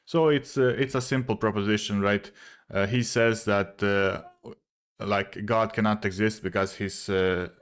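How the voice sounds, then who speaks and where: A man's voice: fairly low pitch, fairly even intonation, measured pace, smooth. A man whose first language is not English, casually arguing a point in a video, hesitant with many fillers and restarts, often checking in with "right?". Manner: explains step by step, often asks "right?". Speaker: video creator responding to a commenter. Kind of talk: casual argumentative commentary.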